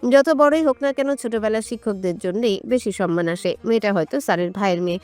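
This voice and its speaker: Female storyteller for scripted romantic fiction, medium-pitched, with light music underneath. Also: quick pace, darkish tone, dry recording. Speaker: story narrator.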